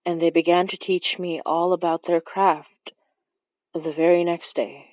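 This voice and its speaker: A woman's voice: fairly low pitch, level tone, a little slow, no room sound, muffled recording, lightly acted. A woman playing a wry young woman who recounts a childhood monster chase in a horror audio drama. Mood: wry, reflective. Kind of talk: first-person horror storytelling in audio drama.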